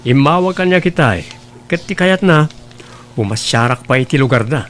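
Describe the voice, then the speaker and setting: A man's voice: mid-range voice, lively intonation, moderate pace, a bit bright, faint music behind, natural voice. A man with an Ilocano accent playing a dutiful grown son and farmer in love in a family audio drama. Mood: pleased, mild. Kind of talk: Ilocano radio-style drama acting.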